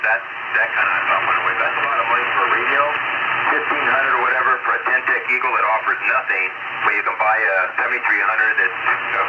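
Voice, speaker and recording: A middle-aged American man chatting casually and ramblingly as an amateur radio operator, over a shortwave radio transmission. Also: fairly low pitch. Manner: rambling, conversational. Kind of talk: casual ham radio chat. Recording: muffled, very noisy shortwave radio reception.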